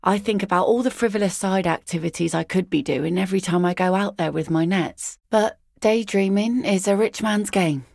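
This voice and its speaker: Gravelly, sardonic widowed fisherwoman. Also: mid-range voice, normal speed, few pauses, darkish tone, dry recording. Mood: dry. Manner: dry, sardonic, matter-of-fact. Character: weary widowed fisherwoman, blunt working-class net picker. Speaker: game character. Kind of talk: video game voice acting.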